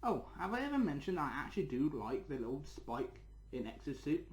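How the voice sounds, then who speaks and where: A man's voice: fairly high pitch, sing-song, fairly quick. Rambling man in an echoey room. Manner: rambling, repeats words and phrases. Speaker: channel host and toy reviewer. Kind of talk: casual rambling update vlog to viewers.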